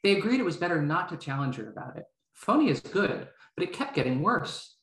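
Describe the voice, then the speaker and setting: A woman in her thirties, a novelist with a low voice, talking and reading informally over a video call. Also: big pitch swings, brisk. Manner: hesitant, self-interrupting. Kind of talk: informal introduction and prose reading. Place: room at home. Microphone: video call, headset worn. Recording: roomy, echoing video-call audio.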